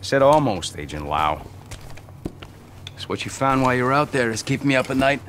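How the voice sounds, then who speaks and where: A gruff middle-aged American man in a video game, bitter and passionate. His pitch swings widely as he rants bluntly, with faint ambience behind. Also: very animated, fairly quick, fairly bright tone, slight background noise. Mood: wry, grim. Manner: blunt, profane, ranting. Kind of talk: video game cutscene acting.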